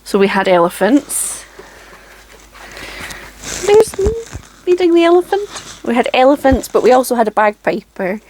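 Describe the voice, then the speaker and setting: A Scottish woman in her forties, chatting casually to camera from her home craft room in a chatty, self-deprecating way with frequent ums and soft laughs. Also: fairly high pitch, sing-song, moderate pace, pauses often, a little hiss or hum, low-quality recording. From Scotland. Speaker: craft channel host.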